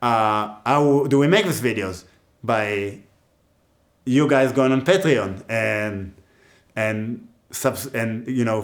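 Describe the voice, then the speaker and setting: A man with an Israeli accent teaching music, talking casually and joking as he gives advice. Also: mid-range voice. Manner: chatty and joking, with many asides. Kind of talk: casual teaching talk to camera.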